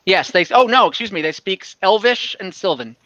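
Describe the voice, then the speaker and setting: A man acts as game master for a fantasy tabletop session. His voice is fairly high and bright, and he narrates casually, feeling his way through explanations. The recording is dry and slightly dull. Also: lively intonation, brisk, no room sound.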